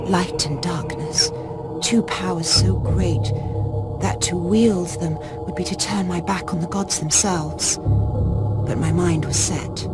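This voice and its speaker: An actress playing a grieving noblewoman turned witch in a video game cutscene, solemn and dramatic, with measured delivery. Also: fairly low pitch. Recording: loud music behind the voice. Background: loud game soundtrack music.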